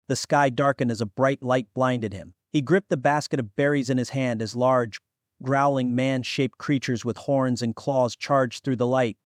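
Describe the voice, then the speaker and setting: A man's voice: medium pitch, no room sound. Dramatic male fantasy narrator, dry sound. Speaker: audiobook narrator.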